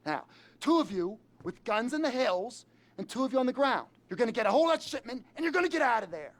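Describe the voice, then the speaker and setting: A man's voice: extremely high pitch, big pitch swings, quick pace. A man playing a jittery, fawning criminal henchman in a video game, rambling nervously and correcting himself as he goes. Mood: eager, rambling. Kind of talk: video game cutscene acting.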